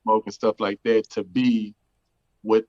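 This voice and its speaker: Upbeat low-voiced man, lo-fi. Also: level tone, measured pace, dark tone. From Houston, Texas. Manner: upbeat, says "you know what I'm saying" often. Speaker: guest entertainer. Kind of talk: casual interview chat. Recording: dull lo-fi sound.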